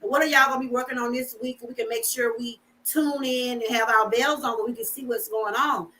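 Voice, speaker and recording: A woman's voice: a little high, fairly even intonation, a little fast, a loud crowd, rough recording. A woman from Georgia, hosting a casual live stream and encouraging her guests with questions. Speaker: host.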